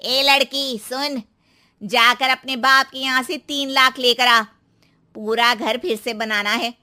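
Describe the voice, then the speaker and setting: A woman's voice: high-pitched, fairly even intonation, slow pace, bright, forceful tone, heavily acted. A woman voicing a greedy, domineering middle-aged mother-in-law in a moral story, bossy and taunting. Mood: demanding. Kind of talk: acted moral-story dialogue.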